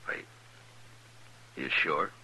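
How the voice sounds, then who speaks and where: An actor playing a stern, steady American lawman in a 1950s radio western, terse and calm with authority. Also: very slow, full character voice. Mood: calm, kindly. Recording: faintly noisy vintage radio drama recording.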